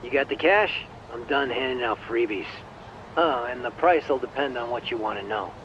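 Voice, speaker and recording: An actor playing a smug, corrupt police detective who sells information, breezy and teasing, in an English video game dub. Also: male voice, mid-range voice, normal speed, lo-fi sound, slight background noise, lightly acted. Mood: casual, businesslike. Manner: breezy, cocky, wry, teasing.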